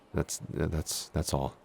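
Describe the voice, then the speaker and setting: A man's voice: a bass voice, gravelly, darkish tone, a little hiss or hum, full character voice. A man playing a shy, clumsy giant in a roleplay audio, stammering, hesitant and constantly apologetic. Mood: flustered, shy. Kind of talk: intimate roleplay audio acting.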